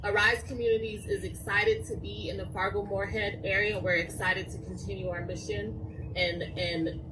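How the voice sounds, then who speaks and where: A woman giving a short, upbeat partnership pitch to an audience. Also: little pitch movement, normal speed, noisy background.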